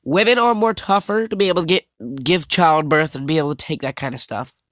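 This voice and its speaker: A young man vlogging over slides, talking haltingly with many fillers and restarts, his voice a little scratchy and his nose stuffed up. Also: on the high side, fairly bright tone, no room sound, clean studio sound. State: congested, slightly scratchy voice. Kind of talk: casual talk over slides.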